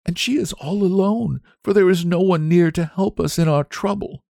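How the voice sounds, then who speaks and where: A man's voice: high-pitched, moderate pace, creaky, a little mellow, dry recording, clean studio sound, voice pushed up. A man playing a meek, tearful little shepherd boy in a storybook, pleading plaintively. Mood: sad, meek.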